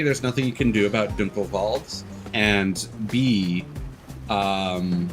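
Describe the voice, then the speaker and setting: A man livestreaming a video game with casual commentary, rambling and analytical as he gives his opinions. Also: medium pitch. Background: video game music.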